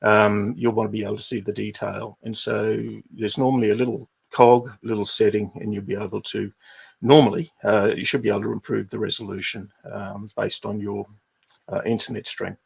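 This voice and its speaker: A middle-aged Australian man with an Australian accent, hosting a livestream and walking viewers through housekeeping in an unhurried way with many fillers. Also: on the low side, flat delivery. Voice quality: gravelly, husky. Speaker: livestream host, business advisor.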